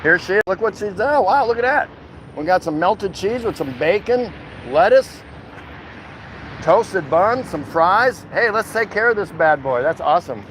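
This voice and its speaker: A man with a somewhat high voice and an American accent talks in a loose, colloquial way, like he is livestreaming to regular viewers. He is folksy and jokey, and faint street noise sits behind him outdoors. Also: animated, measured pace, a bit bright, low-quality recording. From Milwaukee, United States. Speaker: walking vlog host. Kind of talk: casual livestream chat to viewers. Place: outdoors at a city food truck.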